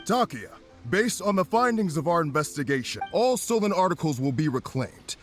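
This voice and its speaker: A stern game lawman with a fairly high voice. He speaks formally and with authority. There is music in the background. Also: sing-song, moderate pace. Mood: stern, official. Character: stern, formal male officer of the law. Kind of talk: English game voice acting.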